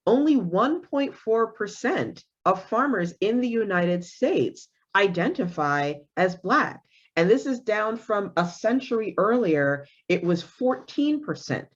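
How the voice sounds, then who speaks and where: A woman hosting a panel discussion, asking questions thoughtfully with many restarts. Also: mid-range voice. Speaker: forum host. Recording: dull lo-fi audio.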